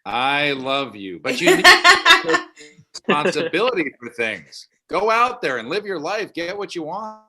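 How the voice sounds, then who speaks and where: A man's voice: a little high, wildly swooping pitch, unhurried, bright, forceful tone, heavily acted. A man playing a blunt, weary middle-aged father in a comedy table read. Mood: exasperated.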